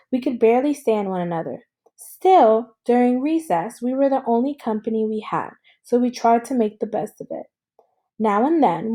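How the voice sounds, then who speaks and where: A woman reading a story aloud as a teacher and thinking out loud, checking in with listeners in an instructional way. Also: a little high. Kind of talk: read-aloud lesson with think-aloud commentary.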